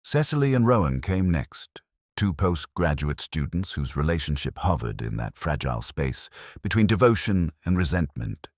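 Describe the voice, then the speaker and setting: Very deep-voiced man narrating a detective story. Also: very animated, normal speed, no echo, clean studio sound. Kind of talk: scripted first-person detective narration.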